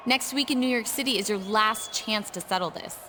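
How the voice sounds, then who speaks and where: A woman's voice: medium pitch, a little fast, bright, forceful tone, a little hiss or hum, lightly acted. A woman playing a pragmatic friend in a video game, conversational and probing as she eggs someone on.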